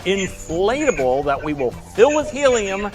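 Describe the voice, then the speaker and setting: A man's voice: high-pitched, big pitch swings, measured pace, faint music behind. A man with an American accent giving an enthusiastic, showman-like behind-the-scenes explanation. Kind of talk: explanatory promotional presentation.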